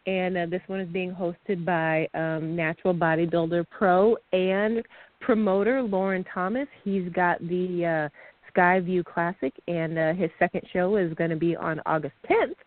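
A woman's voice: on the low side, fairly even intonation, a little slow, no room sound. A woman hosts a podcast in casual, upbeat talk and laughs easily. Her voice comes through dry, with no room sound, and thin like a phone line. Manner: upbeat, laughs often, chatty. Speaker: podcast host. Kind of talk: casual chat.

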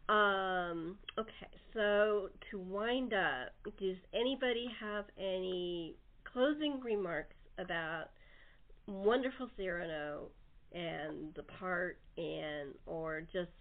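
A woman's voice: on the low side, takes it slowly. A woman hosting a casual interview, speaking in a hesitant, appreciative way. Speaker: talk show host. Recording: phone-like narrow band.

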